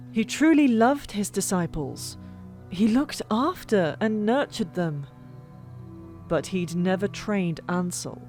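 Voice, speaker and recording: Female audiobook narrator for fantasy fiction: medium pitch, expressive, dramatic pauses, music bed underneath. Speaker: narrator of a fan-made audiobook. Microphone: old microphone. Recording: music bed, older microphone. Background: background music.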